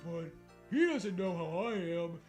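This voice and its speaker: A man's voice: high-pitched, animated, measured pace, faint music behind. A man co-hosting a comic read-aloud of fan fiction, breaking into sarcastic, sweary casual banter. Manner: sarcastic, profane riffing.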